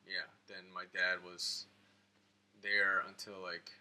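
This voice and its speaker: Interview guest: American male, lower register with a sharp edge, loose conversational rambling. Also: flat delivery, slow. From United States (Florida and Georgia). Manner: rambling, informal, peppered with "like".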